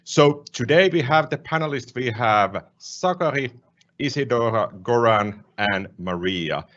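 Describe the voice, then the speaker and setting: A man moderating an online panel over a video call in a friendly, measured way. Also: medium pitch, lively intonation, fairly bright tone. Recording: muffled video-call audio.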